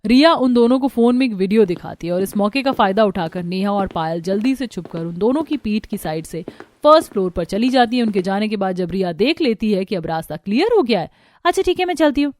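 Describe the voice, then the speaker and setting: A woman's voice: mid-range voice, expressive, fairly quick, darkish tone, no room sound. A woman storyteller reading scripted narration for a cartoon tale.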